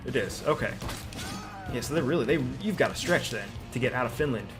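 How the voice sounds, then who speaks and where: Quick-talking man chatting over game music. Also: mid-range voice, animated. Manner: inquisitive, reacting. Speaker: gaming co-commentator. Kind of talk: casual gameplay chat.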